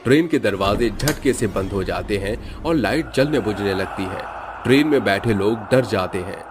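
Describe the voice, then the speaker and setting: Low-voiced man narrating a spooky cartoon. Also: lively intonation, moderate pace, noisy background. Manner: dramatic storytelling. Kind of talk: scripted horror cartoon story narration.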